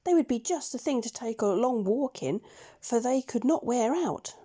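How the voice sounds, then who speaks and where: A woman voicing an eager young farm girl in a children's storybook, talking brightly to her pet. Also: fairly high pitch, animated, moderate pace, voice pushed up. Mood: eager, musing.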